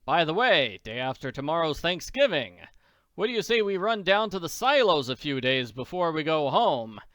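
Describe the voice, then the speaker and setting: Jovial comic-strip tycoon, voiced by a man; booming, clear, dry. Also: very animated, moderate pace, a bit bright, no room sound. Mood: hearty. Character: hearty rich older businessman.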